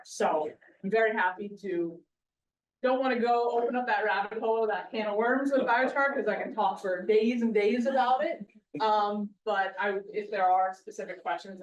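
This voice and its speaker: A woman giving a conference talk to a live audience in a loud, projecting voice, chatty and quizzing the crowd. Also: mid-range voice. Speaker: guest speaker, soil scientist. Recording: roomy hall, mic far off.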